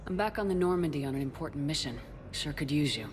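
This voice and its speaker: An actress playing a steady, supportive military commander in a video game, speaking in a direct, plain-spoken way. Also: female voice, deep voice, quick pace. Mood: friendly. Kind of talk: video game cutscene dialogue.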